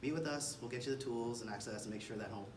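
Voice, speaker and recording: An American man from Kansas City giving a seminar presentation as a real estate agent, speaking haltingly with frequent pauses. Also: mid-range voice. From Kansas City, United States. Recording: distant mic, roomy echo, clear background noise.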